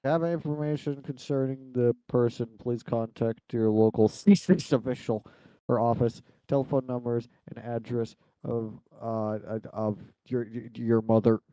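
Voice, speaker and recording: A man's voice: mid-range voice, fairly even intonation, normal speed, dark tone, dry recording, poor audio. A man co-hosting a livestream, talking casually while reacting to a video. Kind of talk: casual chat over a reaction stream.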